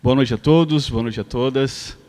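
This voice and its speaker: A man from São Paulo with a São Paulo accent, giving an earnest ceremonial speech as a foundation president and often pausing on fillers. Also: mid-range voice, darkish tone. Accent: São Paulo Portuguese. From São Paulo, Brazil. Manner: earnest, with frequent filler hesitations. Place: theater stage at a live event.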